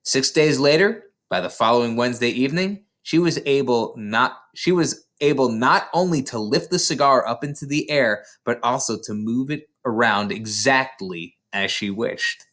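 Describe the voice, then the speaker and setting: A man reading a children's story aloud in an expressive, dramatic storytelling manner. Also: medium pitch, normal speed, few pauses. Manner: dramatic, animated storyteller delivery. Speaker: storybook reader.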